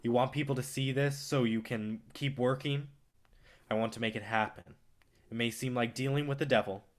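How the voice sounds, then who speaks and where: A man acting out a brash film distributor in a staged play reading. He is a smooth manipulator with a mid-pitched voice, swaggering and swearing as he pushes his pitch. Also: fairly even intonation, normal speed, lightly acted. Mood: pushy, persuasive. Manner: swaggering, profane, persuasive.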